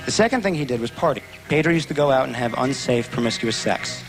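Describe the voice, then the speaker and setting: A man's voice: mid-range voice, animated, quick pace, music in the background, rough recording. A man telling an earnest, emphatic account about someone he knows. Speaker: interviewee describing a friend.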